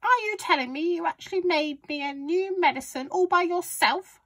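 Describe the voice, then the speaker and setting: Woman acting a bossy old granny from a kids book, really high n loud, scolding and crowing. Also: animated, normal speed, no room sound. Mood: accusing. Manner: shouting, accusatory, crowing. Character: cantankerous, boastful, scolding old grandmother. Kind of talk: children's storybook character voice.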